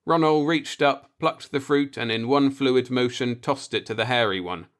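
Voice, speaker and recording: A male audiobook narrator with a medium-pitched voice reads scripted fiction. He delivers descriptive science fiction prose as a storyteller. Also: moderate pace, fairly bright tone.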